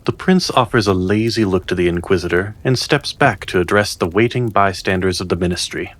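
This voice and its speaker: A man's voice: low voice, fairly quick, no room sound. A man narrating a fantasy audio drama as a solemn storyteller, in scripted dramatic style. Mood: measured.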